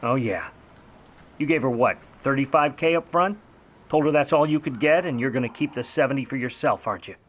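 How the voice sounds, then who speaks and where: An actor playing a wry, wisecracking private eye in a 1950s noir radio play, narrating and trading slangy lines. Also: male voice, medium pitch, brisk, lightly acted. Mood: tough, pressing. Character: wry, grudging hardboiled male private eye.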